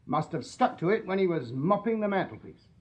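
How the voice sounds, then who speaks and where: Shrewd, high-voiced English police sergeant. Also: male voice, expressive. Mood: pressing, accusing. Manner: methodical, deliberate, formally polite. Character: shrewd, deferential Victorian male police detective sergeant. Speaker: actor in a radio play. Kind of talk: British radio drama acting.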